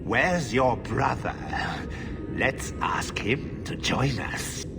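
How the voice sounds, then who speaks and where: A man's voice: mid-range voice, animated, extremely slow, vocal fry, music in the background, full character voice. An actor playing a gold-crazed, decadent nobleman in a video game, pompous and theatrical, with a gloating, lascivious manner. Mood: exultant.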